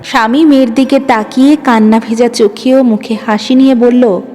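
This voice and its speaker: A woman narrating a dramatic first-person story in an emotive, expressive way. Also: fairly high pitch, normal speed. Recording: long reverb, music underneath. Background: background music.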